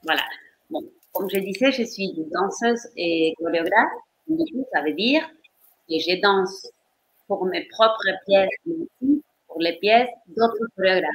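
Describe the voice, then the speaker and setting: A woman in her fifties from Argentina with an Argentine Spanish accent, a dancer and choreographer answering interview questions slowly and carefully. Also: mid-range voice, lively intonation, husky, poor audio. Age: 50s.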